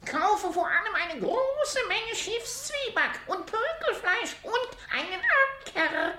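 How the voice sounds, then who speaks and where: A woman's voice: very high-pitched, sing-song, slow pace, bright, forceful tone, roomy sound, full character voice. A woman playing a bossy, knowing parrot who lectures insistently in a children's radio play. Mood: bossy, instructive. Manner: instructive, insistent. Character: bossy, knowing female parrot, seasoned seafarer.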